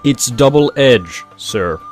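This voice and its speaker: A man's voice: mid-range voice, lively intonation, takes it slowly, soft background music. A man acting a stern, dutiful pony royal guard in an audio drama, formal, protective and suspicious. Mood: stiff, formal.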